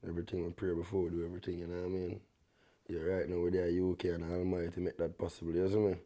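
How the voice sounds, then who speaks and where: Deep-voiced young Jamaican man, laid-back. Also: completely flat delivery, very fast, warm tone. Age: young adult. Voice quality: deep bass voice. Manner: laid-back, peppers talk with "you see me?". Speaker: guest dancehall artist. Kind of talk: relaxed interview talk in Jamaican patois.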